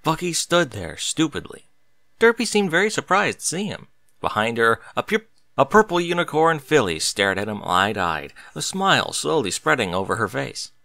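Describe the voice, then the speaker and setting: A man reading a story aloud as narrator, in an expressive scripted narration style. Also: medium pitch, moderate pace, vocal fry, no echo.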